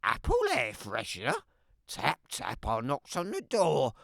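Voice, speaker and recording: Woman voicing a sly, hungry storybook wolf, wildly expressive. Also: extremely low pitch, creaky, no room sound, clean studio sound, heavily acted. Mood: sly, scheming. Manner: dramatic, emphatic, playful pauses and asides. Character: sly, hungry, wry male wolf telling his own tale. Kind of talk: animated children's storybook read-aloud.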